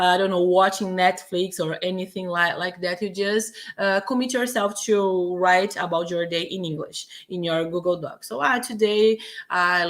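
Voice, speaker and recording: A woman's voice: on the low side, a bit bright. A woman from Brazil with a Brazilian Portuguese accent, a native Portuguese speaker, teaching English in a chatty, casual way with many fillers and restarts. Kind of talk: casual online lesson. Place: home in Quebec.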